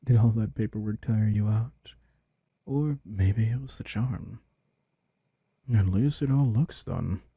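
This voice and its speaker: A man playing a smug, manipulative vampire king in an intimate roleplay audio drama, talking casually with teasing, soft condescension. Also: lowish voice, slow, vocal fry, pauses often, slightly rough, dry recording, heavily acted.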